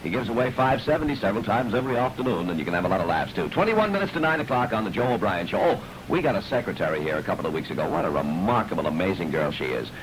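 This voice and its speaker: A man's voice: sits low, big pitch swings, rapid-fire, hardly any pauses. A man working as a morning disc jockey, wisecracking through 1960s top 40 patter on a scratchy, distorted AM radio recording. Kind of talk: 1960s top 40 radio patter, reading time, traffic and ads.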